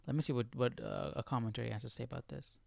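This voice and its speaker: A man hosting a live Bible study in a casual, questioning way, thinking out loud as he reads and comments. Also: medium pitch, fairly even intonation, fast, vocal fry, no echo.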